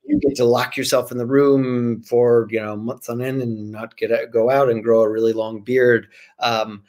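A man in his forties, an author guest, casually answering questions over a video call from a room at home in an animated, jokey way. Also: mid-range voice, creaky.

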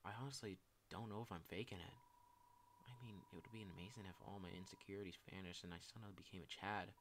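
A shy young game character, acted out by a man, full of self-loathing and apology. The voice is low, subdued and hesitant. There is faint background noise in the recording. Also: fast talker, natural voice. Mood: self-doubting.

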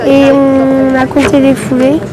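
A shy speaker giving short plain answers in an indoor horse arena. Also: female voice, high-pitched, completely flat delivery, a little slow. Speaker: interviewee. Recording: loud background noise.

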